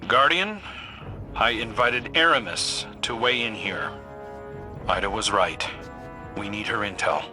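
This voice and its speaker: A man's voice: on the low side, animated, measured pace, long pauses. An actor playing a matter-of-fact, encouraging male space agent briefing a hero in a video game. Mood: focused. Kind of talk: video game cutscene acting. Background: cinematic music.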